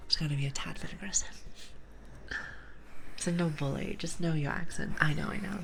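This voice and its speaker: Woman, accented, low voice, soft playful whisper, ASMR live stream. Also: normal speed, gravelly. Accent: non-native English. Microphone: sensitive ASMR microphone with headphones.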